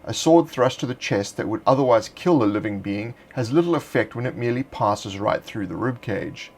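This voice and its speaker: A man narrating a script in a measured, deliberate reading voice. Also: medium pitch, animated, a little mellow. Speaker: narrator of a game supplement.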